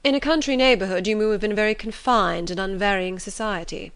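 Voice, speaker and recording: A woman voicing a proud, reserved young gentleman in an audiobook, formal and deliberate. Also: medium pitch, clean tone, natural voice. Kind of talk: audiobook character voice.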